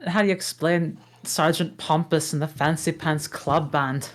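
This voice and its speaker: A gruff, sarcastic male ogre husband, read by a woman at a table read. The voice sits low and dry, with a grumbling sarcastic edge. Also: steady tone, measured pace. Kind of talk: table read of a film script.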